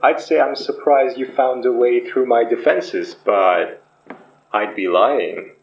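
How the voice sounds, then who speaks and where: An actor playing a polished, sinister sorcerer spirit from the past in an audio horror drama, measured and theatrical. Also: medium pitch, vocal fry. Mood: politely menacing. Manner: slow, measured, theatrical, politely menacing. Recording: muffled, echoey room.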